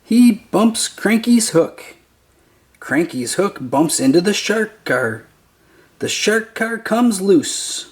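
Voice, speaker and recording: Slow storybook reader for little kids, voice goes up and down a lot, simple short phrases. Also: medium pitch, big pitch swings. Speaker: children's book narrator. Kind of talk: read-aloud picture book narration.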